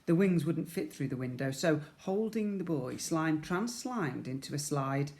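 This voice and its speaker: A man's voice: a little high, animated, unhurried, a little mellow. A man reading a storybook aloud expressively to young children, as a schoolteacher would.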